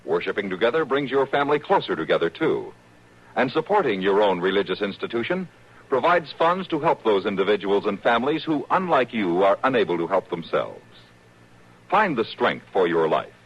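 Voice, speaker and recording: Old time american radio announcer man, husky, really lively pitch, muffled vintage recording. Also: brisk, a little hiss or hum. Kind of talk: 1950s radio announcing, scripted narration.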